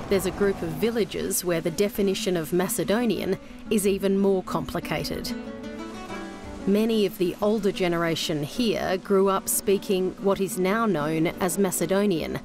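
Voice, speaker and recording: A woman reporter from Western Australia narrating a news documentary in a measured way. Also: on the low side. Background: soft music.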